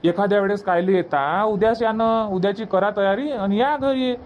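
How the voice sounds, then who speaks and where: A man acts out an eager village man from a cartoon comedy. His voice sits very high for a man, and he talks in colloquial Varhadi Marathi, teasing and pressing his point. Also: normal speed, lo-fi sound, a little hiss or hum, natural voice. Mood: eager persuasion.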